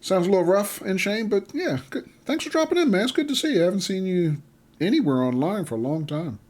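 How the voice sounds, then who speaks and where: A man hosting a live game stream talks loosely with his chat, reading messages aloud and thanking viewers. His voice sits a bit higher than most men's. He speaks into a desk microphone. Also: expressive, fast, darkish tone. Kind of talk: casual livestream chat while playing.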